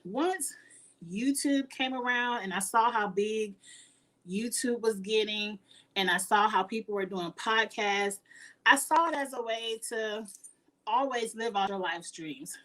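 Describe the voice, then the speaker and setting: A woman, chatting casually with her viewers as a YouTuber, laughing often and sounding earnest and encouraging. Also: fairly bright tone. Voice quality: high-pitched voice. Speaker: YouTuber answering live chat.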